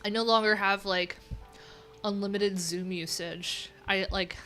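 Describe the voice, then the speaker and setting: A woman's voice: medium pitch, fairly even intonation, unhurried, bright, forceful tone, soft background music. A woman livestream host chatting casually and reading things aloud, with wry, informal, rambling asides. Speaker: livestream host, web developer.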